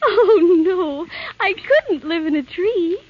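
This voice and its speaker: A woman voicing a sweet, timid young princess in an old animated film, gentle and dainty. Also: extremely high pitch, slow, very noisy, heavily acted. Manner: gentle, dainty, sing-song. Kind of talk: 1930s animated film acting. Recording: dull vintage film soundtrack with music.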